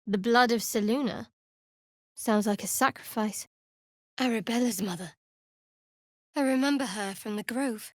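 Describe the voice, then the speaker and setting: An actress playing a guarded, dryly sardonic young woman cleric of a dark goddess in a fantasy video game. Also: a little high, a little slow, long pauses, dry recording, studio quality, natural voice. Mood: solemn, then observing.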